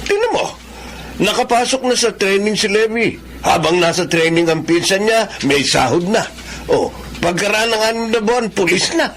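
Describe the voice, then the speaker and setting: A sneering, middle-aged Filipino father in a radio drama. His voice sits high for a man and grumbles with contempt. There is faint ambient noise behind him. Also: expressive, moderate pace, fairly bright tone. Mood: scornful. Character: scornful middle-aged father belittling his own son.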